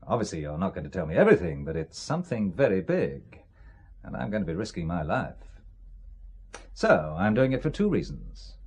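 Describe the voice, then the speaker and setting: A man acting a shrewd British businessman in a spy drama. His voice is husky and moves freely in pitch, yet he stays composed, measured and dryly polite. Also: big pitch swings, darkish tone, exaggerated voice. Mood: cool, firm. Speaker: actor in an audio spy drama.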